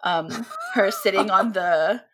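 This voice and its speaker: A woman from the Philippines chats as a podcast guest, her voice sitting at an ordinary middle pitch. She talks in a loose, animated way and strings her thoughts together with plenty of "like" and "um". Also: takes it slowly. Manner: chatty and animated, with many fillers and laughs. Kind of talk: casual conversational interview.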